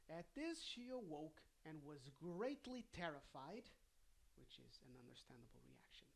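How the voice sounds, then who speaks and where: Fairy tale reader, mid-pitched voice, casual delivery, wry asides. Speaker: storybook reader and host.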